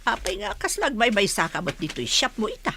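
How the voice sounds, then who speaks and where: A woman's voice: mid-range voice, big pitch swings, brisk, sharp tone, a little hiss or hum. A woman playing a sympathetic, talkative middle-aged village aunt in a radio drama. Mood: friendly, brisk. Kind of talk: Ilocano radio drama acting.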